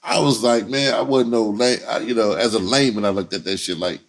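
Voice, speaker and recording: A man's voice: on the low side, fairly quick. A man telling a personal story in casual, profane slang, repeating himself and acting out conversations as he goes. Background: music under the voice.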